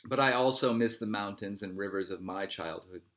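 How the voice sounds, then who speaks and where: A man reading a picture book aloud as a narrator, in measured phrases that settle at the ends. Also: lowish voice, a bit bright. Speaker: storybook reader.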